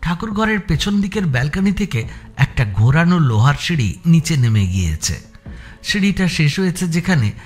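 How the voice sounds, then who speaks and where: A man narrating a scripted suspense audio story, with deliberate, descriptive phrasing. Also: fairly high pitch.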